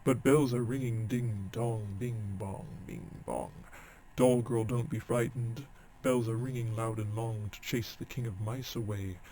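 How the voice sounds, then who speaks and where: A man voicing an odd old godfather in a storybook, with a rasping, monotonous, slow sing-song chant. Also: lowish voice, a little hiss or hum, pitched below the performer's own voice, lightly acted. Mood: eerie chant.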